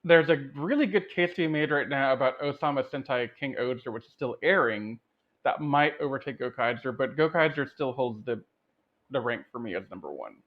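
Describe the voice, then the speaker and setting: A man's voice: mid-range voice, animated, fairly quick. A man co-hosting a film review podcast in a casual, conversational discussion style. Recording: dull, lo-fi voice sound.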